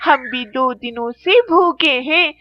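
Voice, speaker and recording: Bitter crow wife for animation, acted by a woman: high, slow, complaining, dull hissy recording. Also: lively intonation. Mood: despairing. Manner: complaining, scolding. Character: bitter, nagging, hungry female crow wife. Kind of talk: children's cartoon voice acting in Hindi.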